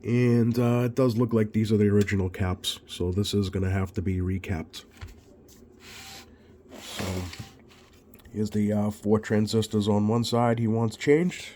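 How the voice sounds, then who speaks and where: Man, slow-paced, relaxed tone, casual technician narration, hesitant, thinking out loud, faint background noise. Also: fairly low pitch. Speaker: radio repair technician hosting a bench video. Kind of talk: casual off-the-cuff repair narration.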